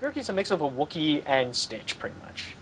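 A man's voice: fairly high pitch, sing-song, fairly quick, noisy background. A young man hosting a casual film discussion over a video call from his home room, rambling enthusiastically with lots of "like" and "pretty much". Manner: enthusiastic, gestures while talking. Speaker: podcast host. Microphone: headset on a video call.

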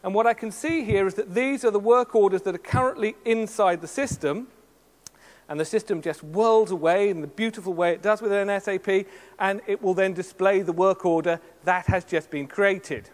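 A middle-aged man giving a lively, joking live demo as a keynote presenter on a large conference stage. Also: high voice, moderate pace. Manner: lively, jokey showman with sound effects. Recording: long hall reverb.

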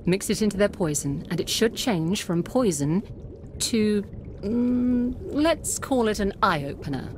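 An actress playing a wise, rueful witch woman in a video game, speaking in a measured, deliberate way. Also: mid-range voice, lively intonation, background noise, close to an everyday voice. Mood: confiding. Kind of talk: video game cutscene acting. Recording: game audio with clear background ambience.

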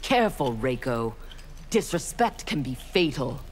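A woman's voice: very deep voice, big pitch swings, unhurried, very noisy, full character voice. A woman voicing a proud, ruthless young female ninja warrior in a video game, speaking in a cold, haughty, clipped way.

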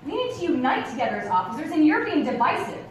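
A woman's voice: high-pitched, sing-song, quick pace. Actress as defensive, condescending veteran policewoman, echoey stage. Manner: patronizing, calls people darling and hun. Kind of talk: live stage play acting. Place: theatre stage with rows of seats. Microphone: distant camera. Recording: echoey theatre stage from far off, noisy.

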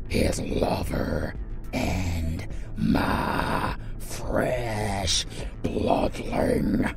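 A man voicing a bitter, imperious crippled vampire lord in a dark fantasy audio roleplay, in a menacing, rasping whisper. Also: deep voice, full character voice. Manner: menacing, theatrical.

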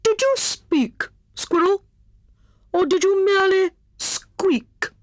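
A woman voicing a whimsical, vain male hare in a children's storybook, in a pompous, drawn-out manner. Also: very high voice, dry recording, studio quality, pitched above the performer's own voice. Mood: self-satisfied.

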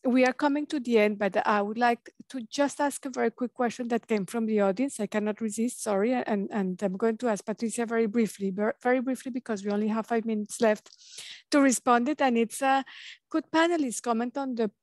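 A woman in her forties moderating an online panel over a video call, polite and hurried with many fillers. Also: fairly high pitch, a little mellow, dry recording. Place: office on a video call, indoors. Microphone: webcam mic on a video call.

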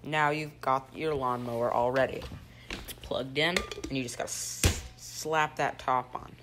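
Teen boy host, deadpan joke cooking show, phone-filmed in a kitchen, hissy audio. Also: mid-range voice, a little slow. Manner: deadpan, rambling, with ums and mock instructions. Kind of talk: joking mock cooking show. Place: home kitchen, indoors. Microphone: phone camera held by a friend. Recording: noisy home phone video.

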